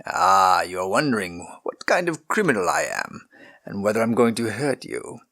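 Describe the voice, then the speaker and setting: A man voicing a good-humored imprisoned nobleman who speaks calmly and patiently, with a gentle tease. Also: lowish voice, normal speed, full character voice. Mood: reassuring. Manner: calm, patient, gently teasing.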